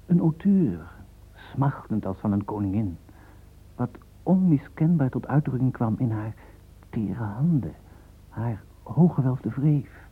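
A man narrating a radio play as an admiring storyteller, with a breathy, husky voice and lingering, florid delivery. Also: medium pitch, lively intonation, slow pace. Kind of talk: scripted literary narration in radio drama. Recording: thin, phone-like radio play recording.

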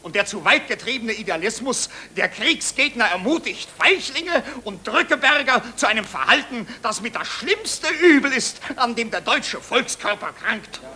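Ein Schauspieler spielt in einem deutschen Hörspiel der 1940er einen wichtigtuerischen, nationalistischen Psychiater, pompös und theatralisch deklamierend. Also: male voice, very high-pitched, animated, moderate pace, few pauses, bright, forceful tone, heavily acted. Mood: indignant, bombastic. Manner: pompous, officious, theatrical oratory. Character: bombastic, nationalist German psychiatrist, self-important official. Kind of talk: 1940s German radio play, declamatory speech. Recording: noisy 1940s radio recording.

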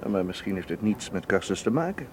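An actor playing a loyal, frank investigator's partner in a radio drama, conversational and a little defensive. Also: male voice, on the low side, sing-song, fast talker, noisy background. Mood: thoughtful. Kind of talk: radio drama acting in Dutch.

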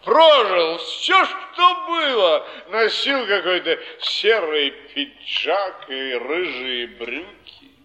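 An actor playing a ruined, timid, bewildered nobleman, halting and plaintive, in a theatrical Russian stage style. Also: high voice, big pitch swings, bright, forceful tone, exaggerated voice, rough recording. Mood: rueful, lost.

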